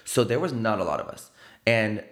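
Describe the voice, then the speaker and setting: A man's voice: on the low side, normal speed, reverberant. A man from the South Side of San Antonio with a South Texas accent, telling stories casually as a guest in a studio, tagging his points with "right?". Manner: animated, peppers stories with "right?" and "like". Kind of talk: casual storytelling interview. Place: podcast studio.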